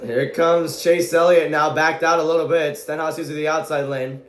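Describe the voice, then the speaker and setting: Man, fast excited play-by-play, shouting, strained hoarse voice, high pitch, roomy echo. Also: a little hiss or hum. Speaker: amateur race commentator. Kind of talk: excited live play-by-play commentary.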